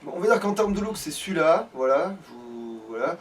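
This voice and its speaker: A man giving casual gaming commentary as a let's play host, swearing freely and hesitantly thinking out loud. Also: on the high side, expressive, fairly quick, distant mic.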